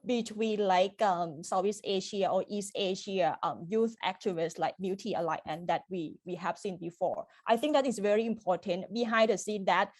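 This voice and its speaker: A woman's voice: mid-range voice, moderate pace, few pauses, no room sound. A woman giving an unscripted panel answer in loose, filler-strewn phrasing. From Thailand. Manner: loose phrasing with frequent 'like'. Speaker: activist panelist.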